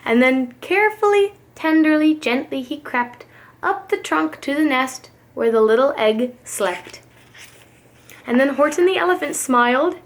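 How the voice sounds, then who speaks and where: A woman reading a storybook to young children as a preschool reader, with dramatic, deliberate phrasing. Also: high voice, expressive.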